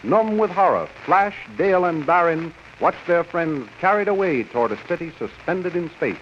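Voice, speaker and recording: A dramatic radio serial narrator, fairly high and very animated. The vintage recording is muffled, with a faint hiss. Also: male voice, normal speed.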